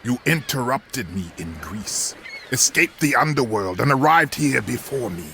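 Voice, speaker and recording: Forceful, gritty cyclops warlord. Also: male voice, mid-range voice, lively intonation, unhurried, gravelly, slight background noise. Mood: threatening. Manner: imperious, theatrical. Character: male cyclops warlord villain, arrogant and threatening. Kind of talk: video game cutscene acting.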